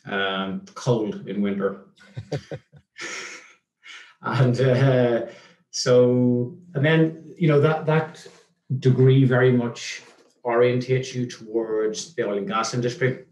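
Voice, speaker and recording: A man's voice: mid-range voice, fairly even intonation, takes it slowly, darkish tone. Hesitant Northern Irish man, echoey. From Northern Ireland. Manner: hesitant, many restarts and ums. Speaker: guest. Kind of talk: casual interview chat. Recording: echoey room.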